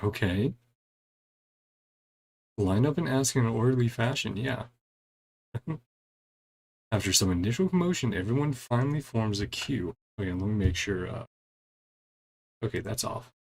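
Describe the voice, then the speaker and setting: A man's voice: lowish voice, expressive, moderate pace, vocal fry, pauses often, a little mellow. A man giving casual gameplay commentary, reading game dialogue aloud and adding wry, chuckling reactions in a laid-back way. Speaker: gaming streamer doing a playthrough.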